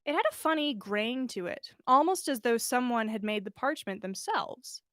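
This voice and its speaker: A woman narrating fiction aloud as a podcast host, animated and expressive in the way she tells it. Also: fairly high pitch, normal speed, no room sound, studio quality. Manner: animated storyteller, acts out dialogue. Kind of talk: expressive story narration, livestream read-aloud.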